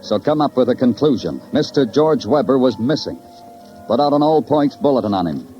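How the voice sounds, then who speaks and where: An actor playing a world-weary, sardonic police detective in a 1950s radio crime drama, with terse, poetic narration. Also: male voice, mid-range voice, expressive, brisk, dark tone, lightly acted. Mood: grim, terse. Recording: dull old radio recording, music behind.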